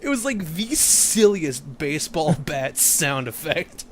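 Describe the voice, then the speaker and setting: A man's voice: fairly high pitch, very animated, slow pace, a little hiss or hum. A young man bantering casually with crude, irreverent humour as co-commentator on a gaming video.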